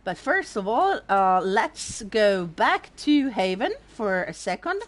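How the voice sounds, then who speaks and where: A woman with an Italian accent, casually commenting on a game stream in a sardonic, animated way and swearing freely. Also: mid-range voice, takes it slowly. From Italy. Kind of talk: casual let's-play commentary.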